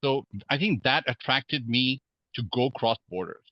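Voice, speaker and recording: A man from Hong Kong, a podcast guest, speaking in a measured way with many fillers and restarts. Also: medium pitch, expressive, no echo, lo-fi sound. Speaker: guest real estate investor. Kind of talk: podcast interview.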